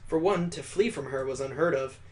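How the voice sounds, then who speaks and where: A man's voice: medium pitch, a little fast. A man hosting a let's play, reading visual novel narration aloud and adding wry, casual asides.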